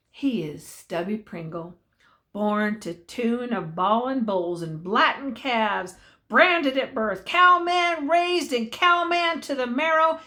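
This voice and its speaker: Bright-voiced older woman reading a story. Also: mid-range voice. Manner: folksy, dramatic emphasis, drawn-out key words. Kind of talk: animated read-aloud story narration.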